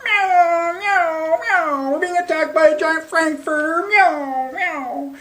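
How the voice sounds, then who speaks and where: A man's voice: extremely high pitch, slow, a bit bright, pitched above the performer's own voice, heavily acted. A man voicing a melodramatic, ornery storybook cat with exaggerated, panicked cries and meows. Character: flustered, melodramatic ornery cats meowing in panic.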